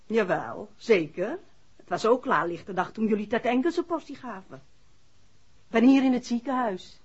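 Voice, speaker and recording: A woman's voice: fairly high pitch, expressive, moderate pace, darkish tone, husky. An actress with a Netherlands Dutch accent playing an anxious, defiant mother in a 1960s radio play. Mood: defiant, accusing. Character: anxious, defiant working woman, mother of injured man. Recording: muffled, hazy old radio recording.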